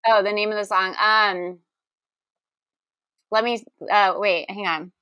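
A woman co-hosting a podcast in casual, playful chat, expressive and full of exclamations. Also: mid-range voice, slow, smooth, dry recording. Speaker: podcast co-host guessing in a game.

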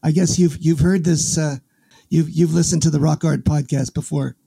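A man hosting a podcast, folksy and energetic, often restarting his words, with a dry close-mic sound. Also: a little high, normal speed, creaky, slightly rough, no room sound. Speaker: podcast host. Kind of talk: casual interview with promo reads.

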